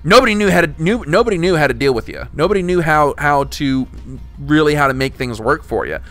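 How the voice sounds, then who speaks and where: A man's voice: fairly high pitch, lively intonation, brisk, a bit bright, soft background music. A young man hosting a casual podcast, talking in rambling, restart-heavy stretches with some swearing. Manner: rambling, full of restarts and profanity. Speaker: podcast host.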